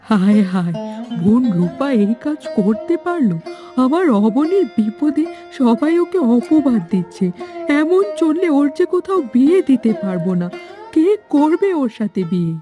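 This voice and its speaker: A woman's voice: high voice, very animated, unhurried, music in the background. A woman playing a caring, worried village mother with a plaintive, melodramatic cartoon delivery. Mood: alarmed, distressed. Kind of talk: dramatic cartoon dubbing.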